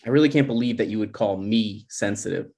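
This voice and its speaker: A man's voice: fairly low pitch, a little fast, a little mellow, lightly acted. A man playing a sensitive, jokey twenty-six-year-old boyfriend in a screenplay table read, casual and self-deprecating. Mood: playful, touchy.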